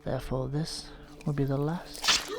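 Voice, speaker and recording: A man voicing a gentle, soft-spoken television painter who has turned menacing, in a horror ASMR role-play. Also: mid-range voice, steady tone, heavy background noise, natural voice. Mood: mournful. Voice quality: soft whispery delivery. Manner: slow, soothing, softly menacing. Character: gentle middle-aged male TV painter turned unhinged.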